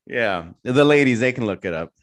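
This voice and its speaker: A man co-hosting a podcast, talking hesitantly with many pauses and fillers. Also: medium pitch, animated, gravelly. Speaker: podcast co-host. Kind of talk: casual podcast chat.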